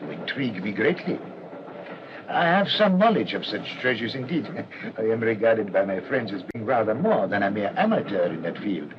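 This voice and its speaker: Suave foreign clergyman, radio play, courteous, prying, animated pitch, dull hissy recording. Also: moderate pace. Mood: probing, intrigued. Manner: unctuous, courteous, probing. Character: suave, inquisitive foreign clergyman and self-styled collector.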